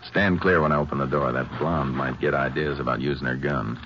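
An actor playing a calm, businesslike Texas Ranger who investigates and narrates tersely in a 1950s radio drama. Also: male voice, very deep voice, brisk, very noisy. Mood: steady, businesslike. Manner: terse, matter-of-fact. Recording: muffled vintage 1950s radio recording.

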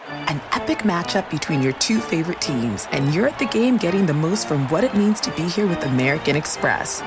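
A woman's voice: deep voice, animated, a little fast. A woman reading a scripted sports-event commercial as an announcer. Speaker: advertisement announcer. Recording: music and crowd sound behind. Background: music, crowd.

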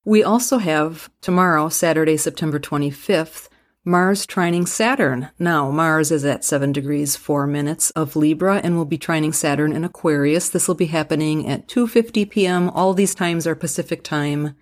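Friendly Minnesotan woman, lowish voice. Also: moderate pace. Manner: friendly, leads the segments and reads out the details. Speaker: podcast co-host. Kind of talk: podcast chat.

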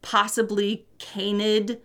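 A woman co-hosting a podcast, explaining mythology in relaxed chat with many fillers and hedges. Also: mid-range voice, slow. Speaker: podcast co-host. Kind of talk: casual chat about mythology.

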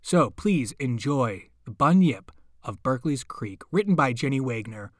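A man's voice: medium pitch, animated, moderate pace, no room sound, clean studio sound. A man hosting a podcast, reading a scripted introduction. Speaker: podcast host.

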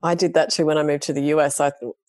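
A woman's voice: sits low, level tone, fast, darkish tone, no echo, studio quality. A woman hosting a chat, asking easy questions and murmuring short backchannels. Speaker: host. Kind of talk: podcast interview.

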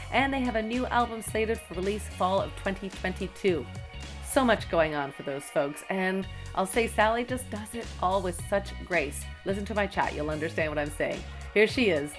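A woman hosting a podcast interview, chatty and gushing with enthusiasm. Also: medium pitch. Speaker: podcast host.

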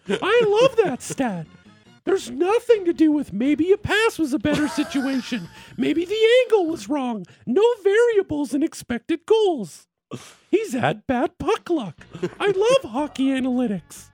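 A man's voice: a bit bright, heavily acted. A man voicing a gushing, saccharine character feigning delight, sarcastic and drawn out, on a sports show. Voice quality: high, put-on.

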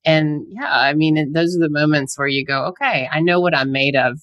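A woman chatting casually, an animated storyteller who laughs easily and acts out what people said. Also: sits low, a little fast, no room sound, lo-fi sound. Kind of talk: casual chat, anecdote.

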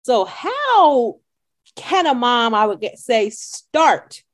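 A woman's voice: fairly high pitch, sing-song, slow pace. A woman hosting a podcast interview, affirming her guest and summing up her points reflectively. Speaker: podcast host. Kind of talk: interview conversation.

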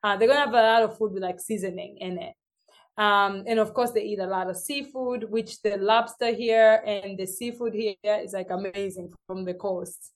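Lively Tanzanian woman telling stories. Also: medium pitch, normal speed. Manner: lively storyteller, acts out what others say. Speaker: guest. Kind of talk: casual interview chat.

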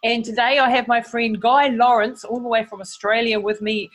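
Chatty enthusiastic podcast hostess. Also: female voice, fairly high pitch, steady tone, normal speed. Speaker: podcast host. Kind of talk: podcast interview. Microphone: video call.

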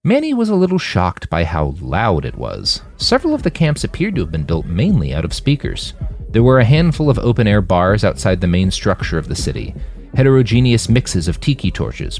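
A man's voice: lowish voice, huge pitch swings, normal speed, a little mellow. A man narrating scripted fiction as an audiobook-style story. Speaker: author and narrator.

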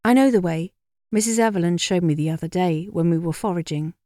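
Woman voicing a matter-of-fact schoolgirl, quietly assured. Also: on the low side, a little fast, dry recording, clean studio sound, natural voice.

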